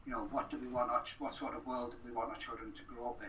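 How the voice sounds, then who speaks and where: Guy being interviewed, really sharp bright voice, earnest, bit far from the mic w faint noise behind. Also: medium pitch, little pitch movement, quick pace, roomy sound. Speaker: podcast guest. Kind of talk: conversational interview.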